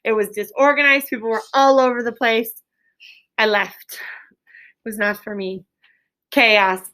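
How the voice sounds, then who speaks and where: An American woman speaks as an elementary school teacher leading an interactive lesson. Her voice is high and bright, and she instructs clearly, modeling things for her young pupils. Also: fairly even intonation, a little slow.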